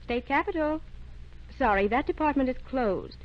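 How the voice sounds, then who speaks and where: An actress playing a brisk, businesslike, officious switchboard receptionist in an old-time radio drama. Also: sits high, expressive, slight background noise. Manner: businesslike, offhand. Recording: dull vintage radio recording.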